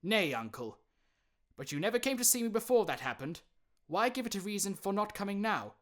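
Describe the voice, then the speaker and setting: Good-hearted young nephew for a storybook male reader performing: eager, earnest, lifted pitch, highly expressive swings. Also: fairly quick. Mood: entreating. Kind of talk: dramatized audiobook reading of classic fiction.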